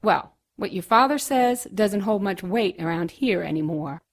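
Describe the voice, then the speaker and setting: A woman's voice: medium pitch, lively intonation, normal speed. An actress playing a busy mother with a dry, teasing manner in an audio drama. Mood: breezy. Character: breezy, wry working mother.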